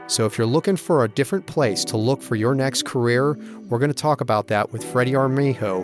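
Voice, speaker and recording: A man hosting a podcast, talking in a friendly, chatty way as he introduces the show and his guest. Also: medium pitch. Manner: friendly, talkative, reminiscing. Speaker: podcast host.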